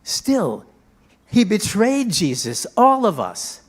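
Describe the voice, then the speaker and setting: A man playing a flustered, irreverent disciple in an amateur dramatic reading, halting and exclamatory, with flippant asides. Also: high-pitched, huge pitch swings, exaggerated voice. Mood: indignant. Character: flustered, irreverent male disciple arriving late.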